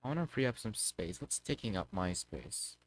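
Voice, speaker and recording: A man's voice: fairly low pitch, expressive, moderate pace, a little hiss or hum, rough recording. A man chatting casually as he streams a game, thinking aloud to himself. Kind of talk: casual muttering livestream gameplay chat.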